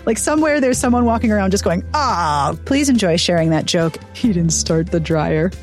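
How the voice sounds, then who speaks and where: A woman's voice: on the low side, lively intonation, moderate pace, faint music behind. Playful, jokey woman podcast host. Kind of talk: podcast interview and outro.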